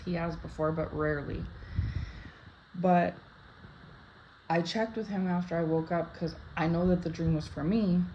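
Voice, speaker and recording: Chatty low-voiced woman, slightly raspy. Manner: chatty, rambling, many "like" and "right?" tags. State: slightly raspy from just waking up. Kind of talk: casual spoken dream interpretation to camera. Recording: dull lo-fi sound with faint background hiss.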